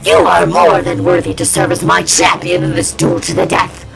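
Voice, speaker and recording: Woman doing a very gravelly sinister summoner. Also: fairly low pitch, monotone, normal speed, faint music behind. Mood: ominous, solemn. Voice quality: gravelly, creaky. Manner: incantatory, declaiming. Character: sinister male summoner villain, solemn, grandiose.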